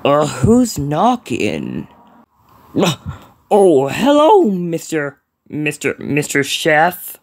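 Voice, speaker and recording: A man performs a cranky, easily exasperated cartoon creature. The voice is gravelly and crackles with fry. It moves slowly, stretching words, then leaps around in pitch as mutters turn into outbursts. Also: wildly swooping pitch, no room sound, exaggerated voice. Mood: hesitant. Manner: drawn-out, exclamatory, swinging from mutters to outbursts. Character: grumpy, easily exasperated male cartoon creature, Mr Red Head. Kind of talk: solo cartoon character voicelines.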